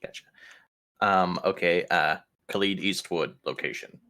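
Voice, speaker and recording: A man's voice: low voice, a little slow, sharp tone. A man playing a tabletop roleplaying game, chatting casually with a joking, sweary manner.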